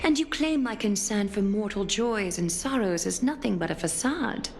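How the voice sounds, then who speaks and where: An actress playing an ageless, dignified demigod lady in a video game, speaking in a measured, formal, coolly disdainful way. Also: mid-range voice, expressive, a little hiss or hum, natural voice. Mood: cold, stern. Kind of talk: dramatic video game voice acting.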